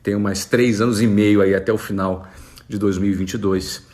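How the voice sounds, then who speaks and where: A Brazilian man in his forties, an entrepreneur talking casually to camera and answering follower questions in a relaxed, conversational way. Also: fairly low pitch, normal speed. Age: 40s. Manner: relaxed, conversational, with frequent fillers.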